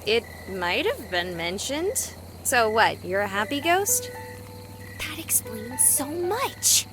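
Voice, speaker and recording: A woman's voice: a little high, big pitch swings, takes it slowly. A woman voicing a candid, wry young woman in a visual novel, conversational and a little guarded. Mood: curious, wry. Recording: music and night insects behind. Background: music, crickets and frogs.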